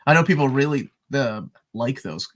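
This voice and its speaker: A man's voice: mid-range voice, moderate pace. Upbeat guy hosting a comics livestream, bouncy voice, reads out the chat, laughs a lot. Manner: animated, reads chat questions aloud, laughs often. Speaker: co-host of a comics show. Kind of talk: casual live-stream chat.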